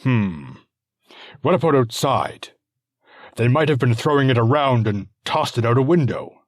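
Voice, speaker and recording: Man doing a panicky warlord dad, pitched well above the narration, loud and frantic, husky. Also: lively intonation, a little slow, no echo, clean studio sound. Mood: urgent. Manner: frantic, exclaiming questions. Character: anxious young male warlord and worried father.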